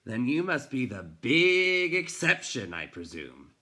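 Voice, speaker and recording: Man voicing a loud, gruff, grumbling old bookseller. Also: medium pitch, sing-song, slow, pitched above the performer's own voice, exaggerated voice. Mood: scornful. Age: older. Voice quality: gruff, growling. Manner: grumbling, blustery, scornful. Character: cross, gruff old bookseller who abides no children.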